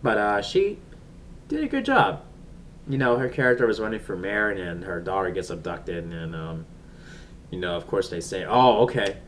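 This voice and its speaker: A man giving a casual, unscripted review as he chats, halting and rambling as he searches for words. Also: low-pitched, steady tone. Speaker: TV episode reviewer. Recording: distant mic with faint background noise.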